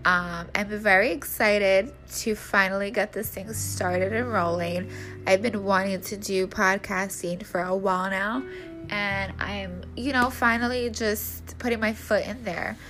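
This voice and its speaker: A woman's voice: mid-range voice, steady tone, measured pace, fairly bright tone, faint music behind. A woman podcast host with a congested, nasal voice, chatting casually and in slang straight to her listeners. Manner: informal, slangy, addresses listeners directly. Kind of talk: casual solo podcast promo chat.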